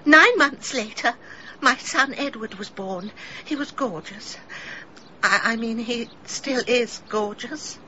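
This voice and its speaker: Radio play: middle-aged English villager, genteel, melodramatically confessional, high-voiced, piercingly bright. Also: female voice, slow pace, dull audio, slight background noise. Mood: sheepish, fond. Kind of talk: British radio drama acting.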